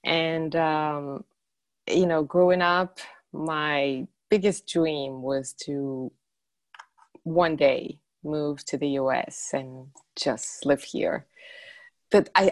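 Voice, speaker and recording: A woman from Morocco in her forties with a lower voice, speaking as an interview guest. She talks in a relaxed, thoughtful way with small laughs, and her voice sounds close and dry. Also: slow pace, no room sound. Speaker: guest, life coach. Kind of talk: casual interview chat.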